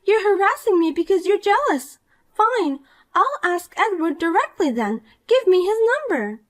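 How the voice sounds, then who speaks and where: A woman's voice: very high voice, very animated, moderate pace, voice pushed up. A woman voicing a shallow, selfish, jealous young woman in a dramatized story, accusing and self-pitying.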